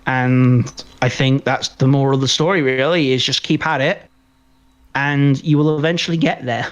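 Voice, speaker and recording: A man co-hosting a podcast in casual film review chat, rambling and halting as he repeats words mid-sentence. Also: mid-range voice, steady tone, muffled recording. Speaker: podcast co-host.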